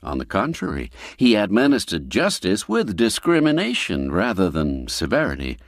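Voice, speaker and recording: A man narrating a classic literary story for radio, reading expressively and deliberately, drawing out key words for emphasis. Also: lowish voice, huge pitch swings, dry recording, clean studio sound.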